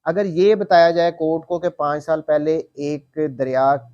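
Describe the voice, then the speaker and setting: A man with a Pakistani accent and a fairly high voice teaches like a law lecturer. He reads formal passages with emphasis, then rephrases them in plain explanation. The recording is close and dry. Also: warm tone, no room sound, muffled recording. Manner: repeats and rephrases points, emphatic slow reading of quoted text. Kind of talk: explanatory lecture, reading English legal text and explaining it.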